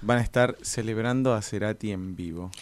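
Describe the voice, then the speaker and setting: A man's voice: on the low side, fairly quick. A man co-hosting a radio show and announcing in a casual style. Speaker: radio co-host.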